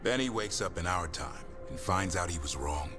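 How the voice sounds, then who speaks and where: An actor playing a grave, blunt fantasy game companion, speaking in sombre statements. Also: male voice, deep voice, lively intonation, moderate pace, music in the background.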